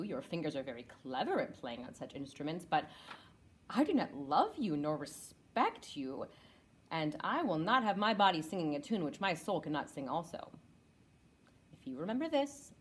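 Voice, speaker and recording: Female reader for a staged letter, low register, deliberate and expressive, noisy live recording. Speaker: actor reading historical letters. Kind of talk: dramatic reading of a letter at a live theater event.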